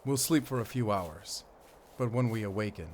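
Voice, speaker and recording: An actor playing a mysterious, confident monster hunter with a German accent in a gothic horror audio roleplay, speaking in a measured way to a companion. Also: male voice, fairly low pitch, a little hiss or hum, close to an everyday voice. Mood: grim, explaining.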